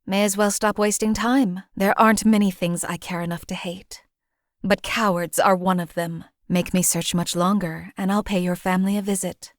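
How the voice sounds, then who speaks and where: A woman's voice: mid-range voice, fairly even intonation, normal speed, no room sound, lightly acted. A woman playing a composed female assassin for hire in a video game, speaking in a dry, matter-of-fact way. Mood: cold, menacing. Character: cool, lethal female assassin companion for hire. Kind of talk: video game companion voice lines.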